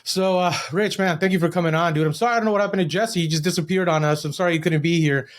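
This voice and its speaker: Higher-pitched American man hosting casually. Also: steady tone, racing. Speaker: podcast host, interviewer. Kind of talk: casual interview chat.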